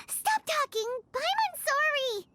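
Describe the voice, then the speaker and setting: A woman voicing a tiny, childlike, excitable fairy companion in a video game, chatty and whiny with exclamatory delivery. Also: very high voice, very slow, heavy background noise, heavily acted. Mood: flustered. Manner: speaks of self in third person, exclamatory. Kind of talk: video game character acting.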